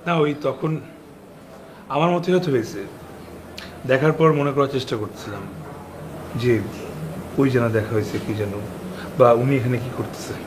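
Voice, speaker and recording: An actor playing an awkward, polite former sweetheart visiting as a guest in a TV drama, hesitant and courteous, with a Bangladeshi Bengali accent. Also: male voice, medium pitch, fairly even intonation, moderate pace, pauses often, background noise, lightly acted. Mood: awkward, evasive. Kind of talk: TV drama dialogue. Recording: echoey room, music underneath.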